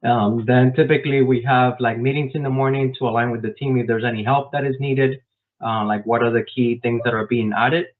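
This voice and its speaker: A man raised in Miami answering interview questions about his work as a software developer, explaining step by step with frequent "um" fillers, over a video call. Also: mid-range voice, steady tone, brisk. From grew up in Miami, Florida. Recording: tinny phone-like online call.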